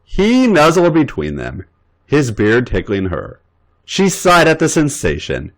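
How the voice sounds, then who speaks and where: A man hosting a podcast, giving a dramatic, expressive reading of an erotic fan fiction story. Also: mid-range voice, measured pace.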